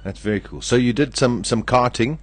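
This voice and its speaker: A man's voice: medium pitch, brisk. A male radio host, chatting casually and teasing his guest with playful jokes. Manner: jokey, teasing, acts out playful quotes. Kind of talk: casual interview chat. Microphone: studio microphone.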